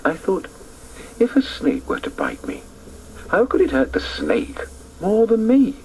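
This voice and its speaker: An actor playing a reflective male narrator recalling his boyhood in a radio drama, lyrical and hushed. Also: sits high, huge pitch swings, measured pace, slight background noise, heavily acted, low-quality recording. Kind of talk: scripted literary radio narration.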